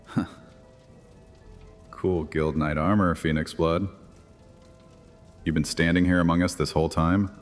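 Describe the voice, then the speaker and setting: Male vampire high priest, extremely low voice in a long reverberant hall, taunting and theatrical, for dark fantasy audio drama. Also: level tone, measured pace, long pauses, soft background music. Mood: mocking amusement.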